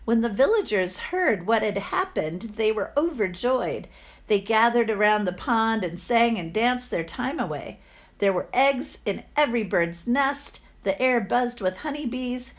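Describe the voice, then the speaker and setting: A woman's voice: mid-range voice, lively intonation, unhurried. A woman reading a children's storybook aloud expressively, like a teacher to her pupils.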